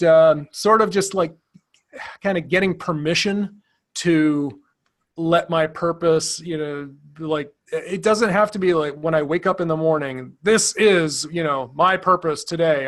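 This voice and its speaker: A man co-hosting a podcast in casual chat, relaxed and joking, with lots of fillers. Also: a little high, a little slow. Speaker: podcast co-host.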